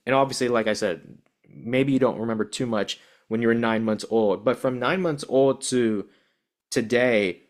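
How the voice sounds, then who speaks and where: A man hosting an interview, asking long, rambling questions full of restarts. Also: mid-range voice. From United States, Bangladeshi parents. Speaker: podcast host, interviewer. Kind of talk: casual interview conversation.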